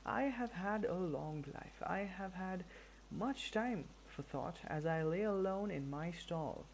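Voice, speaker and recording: A storybook reader voicing a wise, elderly boar who speaks in a formal, measured, oratorical manner. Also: mid-range voice, darkish tone, a little hiss or hum, poor audio. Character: wise, solemn elderly boar addressing farm animals.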